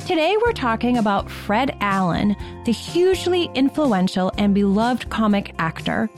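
A woman's voice: on the high side, animated, moderate pace, faint music behind. A woman with an American accent, co-hosting a history podcast with scripted but conversational narration. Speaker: podcast co-host.

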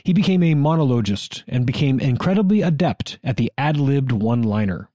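A man's voice: medium pitch, expressive, brisk, warm tone, no room sound. A man with an American accent, co-hosting a history podcast with scripted but conversational narration. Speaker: podcast co-host.

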